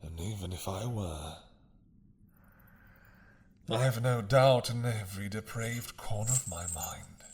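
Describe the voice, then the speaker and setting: A man playing an ancient, imprisoned mad dragon king in an intimate audio roleplay, seductive and menacing, with a husky, breathy voice and a teasing, hesitant drawl. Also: fairly low pitch, a little mellow, slight background noise. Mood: seductive, playful. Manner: slow, teasing, hesitant drawl with murmured asides.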